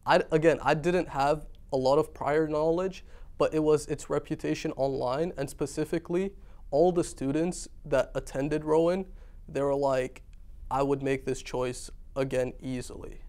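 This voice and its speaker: A man's voice: a little high, steady tone, a little slow, darkish tone. A young man from Iraq answering questions as a student guest in an interview. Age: young adult. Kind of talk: conversational interview.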